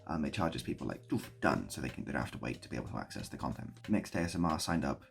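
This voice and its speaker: A man talking casually to camera in a rambling, self-deprecating way with deadpan whimsy. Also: very deep voice, quick pace, gravelly, soft background music. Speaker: video creator and host. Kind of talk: casual improvised monologue to camera.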